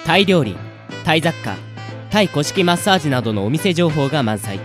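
A man's voice: fairly high pitch, big pitch swings, fairly quick, music in the background. A man hosting a radio show, chatting and announcing in a casual style.

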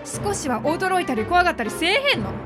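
A woman's voice: extremely high pitch, sing-song, brisk, music in the background. A woman with a Kansai accent playing a blunt, short-tempered, scolding gym accountant in a radio drama. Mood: scornful. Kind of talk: Japanese audio drama acting.

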